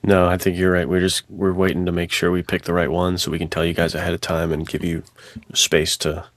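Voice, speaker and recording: A man's voice: low voice, monotone, brisk, dry recording. A man co-hosting a podcast, talking in casual, informal banter with some slang. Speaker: podcast co-host.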